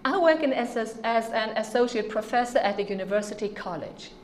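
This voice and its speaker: A woman's voice: fairly high pitch, lively intonation, a little fast, a little hiss or hum. A middle-aged woman with a Norwegian accent, giving an earnest, emphatic conference lecture with rhetorical questions to the audience. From Norway. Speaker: speaker.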